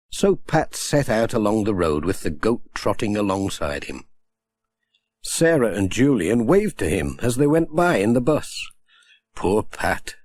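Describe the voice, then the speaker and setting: A man narrates a children's audiobook in a fairly low voice. His delivery is very lively, rising and falling expressively as he reads the scripted story.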